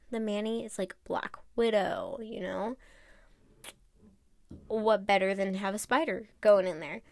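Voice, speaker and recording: A woman's voice: medium pitch, dry recording. A woman with a congested, nasal voice from allergies, chatting casually through a nail tutorial with many fillers.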